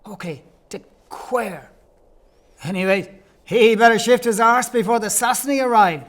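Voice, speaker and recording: A man's voice: very high voice, moderate pace, fairly bright tone. A man playing a wry, scornful Irish local in an audio play, speaking bluntly in clipped phrases with an Irish accent. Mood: urgent, gruff.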